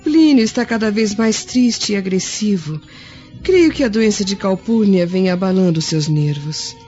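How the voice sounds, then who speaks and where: A woman playing a concerned, gentle Roman noblewoman in a Brazilian radio drama, measured and formal. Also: medium pitch, lively intonation, close to an everyday voice. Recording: muffled recording with faint music.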